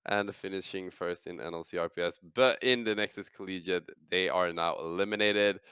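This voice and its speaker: A man casting esports in a casual, energetic post-game chat. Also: sits low, moderate pace, clean tone, dry recording, studio quality. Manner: energetic, excitable, loud sign-offs. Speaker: esports caster.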